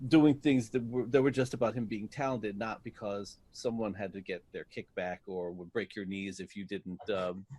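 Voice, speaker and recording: A man's voice: lowish voice, fairly even intonation, moderate pace, few pauses, a little mellow, breathy edge. A man being interviewed as a guest, reflecting thoughtfully and often searching for his words. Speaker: interview guest, voice actor in an audio drama.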